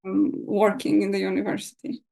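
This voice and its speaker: A woman in her thirties, raised in Israel after being born in Russia, who answers interview questions in a hesitant way with many pauses. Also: medium pitch, monotone, gravelly, dark tone, poor audio. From Russia, grew up in Israel. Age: 30s. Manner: hesitant, many ums and uhs. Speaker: guest. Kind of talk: casual interview.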